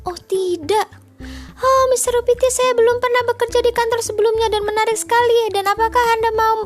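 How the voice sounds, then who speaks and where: A chirpy female storybook character, put on by a woman reading aloud, pitched very high. She gushes in a quick, eager stream that never seems to stop. Faint music plays behind her. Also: fairly even intonation. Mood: eager, gushing. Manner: rambling, nonstop, eager chatter.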